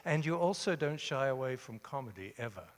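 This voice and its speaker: A man's voice: mid-range voice, expressive, fairly quick, in a large hall. A man from Australia moderating an onstage interview, chatty and eager with follow-up questions.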